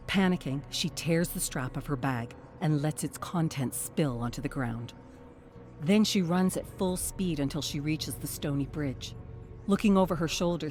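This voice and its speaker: A narrator reading a scripted story in a dramatic, measured and suspenseful manner. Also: medium pitch.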